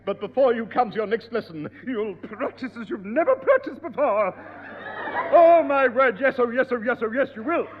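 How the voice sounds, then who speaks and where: An actor playing a prim, pompous piano teacher in a 1960s radio comedy. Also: male voice, extremely high pitch, very animated, moderate pace, some chatter behind. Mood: indignant. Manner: pompous, prim, repeats "oh yes". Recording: dull, echoey old radio broadcast.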